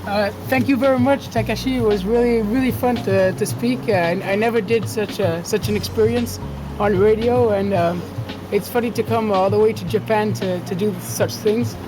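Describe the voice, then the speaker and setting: A man's voice: sits high, fairly even intonation, moderate pace, background noise. A man speaking politely and a little hesitantly as a guest in a casual amateur radio chat.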